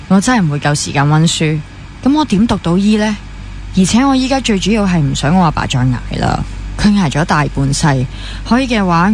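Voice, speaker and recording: A weary, ambitious young female junior doctor played by an actress in a radio drama. Her Hong Kong Cantonese is natural and matter-of-fact, fairly low for a woman, with some teasing and slight background noise. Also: a little mellow, natural voice. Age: young adult.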